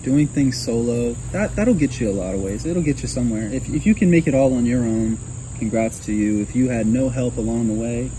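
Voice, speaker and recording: A man hosts in a relaxed, supportive way, nudging his guest along. Crickets and rural outdoor ambience are clearly heard behind him. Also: medium pitch, a little fast, rough recording. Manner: relaxed, affirming with frequent "mm-hmm". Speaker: podcast host. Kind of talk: casual interview hosting. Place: outdoor gazebo. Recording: outdoor insect noise, crickets.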